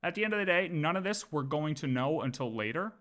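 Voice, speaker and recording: A man's voice: fairly high pitch, animated, brisk, a bit bright. A man livestreaming casual game lore commentary, thinking aloud and often repeating his words as he works through ideas.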